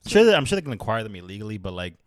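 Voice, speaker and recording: A man's voice: on the low side, sing-song, rapid-fire, no room sound, studio quality. A man from the United States in casual podcast chat, blunt and joking, swearing freely. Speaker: podcast co-host.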